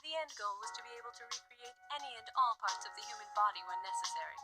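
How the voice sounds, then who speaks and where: A woman voicing a guarded, formal wife in a murder mystery visual novel, with measured, precise speech. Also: fairly high pitch, over loud music, natural voice. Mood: measured, explanatory.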